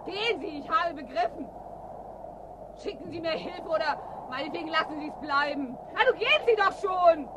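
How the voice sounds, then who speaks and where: Loud rumbling noise surrounds a desperate woman with a very high voice. She is proud and defiant, insistent in a radio-drama style. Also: normal speed. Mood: bitter defiance. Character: defiant, desperate trapped woman in an icy wasteland. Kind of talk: German radio play acting. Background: loud rumbling ambience.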